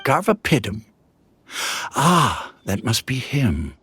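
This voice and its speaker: A man voicing a kindly, hospitable village head in a game, unhurried and welcoming. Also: mid-range voice, sing-song, a bit bright, breathy edge, no echo, heavily acted. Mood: friendly. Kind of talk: game voice acting.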